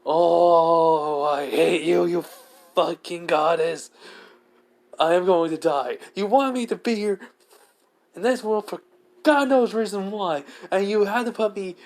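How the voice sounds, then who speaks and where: A man's voice: medium pitch, animated, moderate pace, a bit bright, lightly acted. A man playing an exasperated, sarcastic stranded human in a fantasy story, ranting with defiance. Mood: exasperated, panicked.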